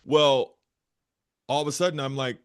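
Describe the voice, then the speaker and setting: A man with a bass voice, a therapist and guest, earnestly telling his own story and often repeating phrases as he searches for words. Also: steady tone, moderate pace. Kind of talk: candid personal storytelling.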